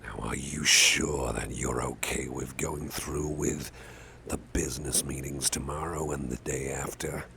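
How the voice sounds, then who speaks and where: A man playing a caring, affable boss in an ASMR roleplay, talking softly in a gentle, hesitant, chatty way with a gravelly voice. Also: fairly even intonation, unhurried, full character voice. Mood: concerned, tender. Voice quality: deep, gravelly, breathy at times. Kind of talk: ASMR roleplay, soft close personal talk. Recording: faint background noise.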